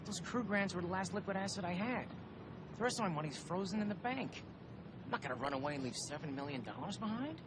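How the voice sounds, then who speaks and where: A man's voice: high voice, animated, quick pace, background noise. An actor playing a selfish, glib businessman who is facing prison, in a whiny, self-justifying manner for a comedy film. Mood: dejected, defensive. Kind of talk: live-action comedy film acting. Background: music.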